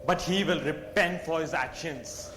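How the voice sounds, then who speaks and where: A man's voice: fairly high pitch, measured pace, lightly acted. A male actor playing a gossiping, judgmental winter spirit in a school stage play. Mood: scornful. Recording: reverberant noisy hall.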